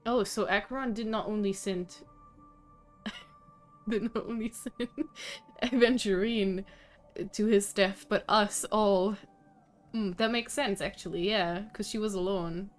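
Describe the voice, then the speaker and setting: Casual woman streamer, mid-pitched. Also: steady tone, slow, pauses often, faint music behind. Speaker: game streamer. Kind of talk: casual live reaction commentary. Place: livestream, game music behind.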